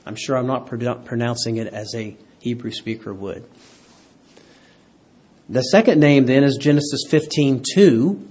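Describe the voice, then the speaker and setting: A man's voice: medium pitch, moderate pace, a little mellow, husky. A man teaching a Bible class who keeps quizzing his listeners and asking them for an amen. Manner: quizzes the class, asks for amens. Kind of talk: interactive Bible lesson.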